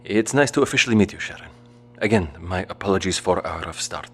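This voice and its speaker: An actor playing a gentle, devout father and wary survivor in an audio drama, speaking in a measured, formal, polite way. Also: deep voice, expressive, breathy edge. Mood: calm, polite.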